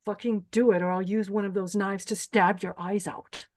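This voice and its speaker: A woman's voice: medium pitch, fairly even intonation, normal speed, darkish tone, lightly acted. A woman playing a menacing, deranged pageant host who bosses and threatens contestants in a screenplay table read. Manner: bossy, threatening.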